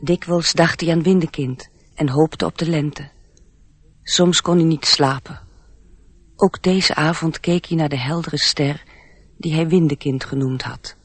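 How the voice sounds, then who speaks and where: Male narrator for storybook radio drama: literary, unhurried, husky, close and dry. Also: on the high side, steady tone, no echo.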